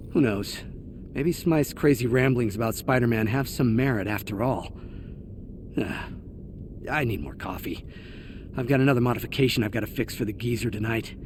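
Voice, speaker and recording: An actor playing a weary, cynical young corporate villain recording a sardonic audio log in a video game. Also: male voice, mid-range voice, lively intonation, moderate pace, background noise, natural voice. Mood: tired, grumbling. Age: young adult. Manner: grumbling, sardonic.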